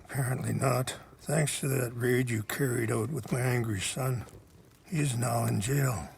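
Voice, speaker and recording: An actor playing a grave, gentle old chief and sorrowful father, measured and formal, with a very gravelly voice in a video game drama. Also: mid-range voice, a little hiss or hum. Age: older.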